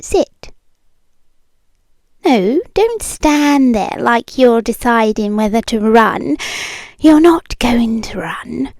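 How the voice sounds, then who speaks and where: A possessive British yandere ex-girlfriend, acted by a woman in an intimate ASMR roleplay. Her high voice moves extremely slowly and deliberately, often slipping toward a whisper. She sounds eerily calm and condescending, cooing with quiet menace, close and dry on the microphone. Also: no room sound. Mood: controlling, coaxing.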